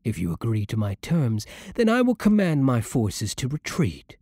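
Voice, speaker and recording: A man playing a seductive, menacing vampire lord in an ASMR roleplay, with a breathy voice and a silky, theatrical, condescending manner. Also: mid-range voice, huge pitch swings, dark tone, no room sound, studio quality, exaggerated voice. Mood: condescending, coaxing. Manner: slow, theatrical, silky condescension with dropping murmurs. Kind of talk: ASMR roleplay, scripted monologue to the listener.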